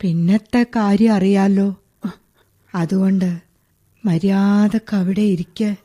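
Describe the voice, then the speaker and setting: An actress playing a stern elderly woman who catches a burglar at night, speaking in a slow, commanding, scolding way in a radio play. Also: mid-range voice, steady tone, dark tone. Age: older. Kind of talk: 1980s-style radio play acting.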